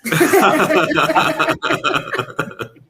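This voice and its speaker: High-pitched, animated man, rambling. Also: drawn-out words, a crowd in the background. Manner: joking, ironic, rambling. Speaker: podcast guest, theatre sound designer and composer. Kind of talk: casual interview chat.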